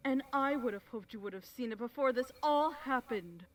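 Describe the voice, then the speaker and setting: A woman playing a cold, manipulative pony queen in a dramatic fan fiction audio reading, speaking slowly and deliberately. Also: fairly high pitch, lively intonation, a little hiss or hum, lightly acted. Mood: cold, confiding. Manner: slow, menacing, silky and deliberate. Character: sinister regal mare ruler, cold and manipulative. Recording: voice over background music.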